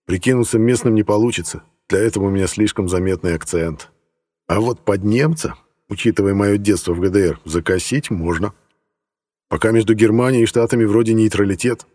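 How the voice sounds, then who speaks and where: A man's voice: deep voice, mellow, big-room sound. A man reading first-person fiction as a scripted audiobook narration.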